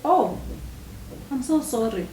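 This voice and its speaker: A woman acting a concerned, pitying character trying to calm someone in stage drama dialogue. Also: high-pitched, slow. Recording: distant echoey room, faint noise.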